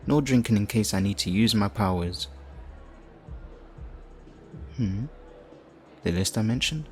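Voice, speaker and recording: A man playing a flirtatious, theatrical supervillain leader in an audio roleplay, smug and righteous, with teasing, drawn-out phrasing. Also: on the low side, a little mellow, close to an everyday voice. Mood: playful, persuasive. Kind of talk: audio roleplay addressed to the listener.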